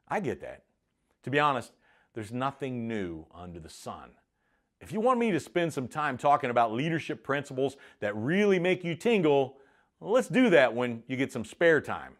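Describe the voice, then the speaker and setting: A middle-aged man giving a motivational monologue as a leadership coach, speaking in a punchy, emphatic, challenging way. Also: mid-range voice. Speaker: leadership coach and show host.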